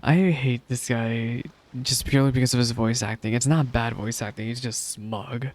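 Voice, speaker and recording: Guy streaming a game, casual reaction comments, average pitch. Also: little pitch movement, moderate pace.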